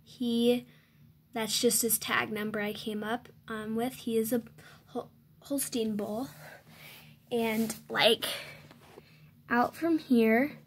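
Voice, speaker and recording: A young female voice, fairly high and unhurried, talks through a tour of her toy farm. She pauses often, fills gaps with ums and corrects herself as she goes. It is recorded close on a handheld phone indoors. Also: steady tone, slight background noise. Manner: hesitant, many ums and self-corrections. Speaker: channel host giving a toy barn tour. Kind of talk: unscripted show-and-tell tour. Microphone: handheld phone filming close.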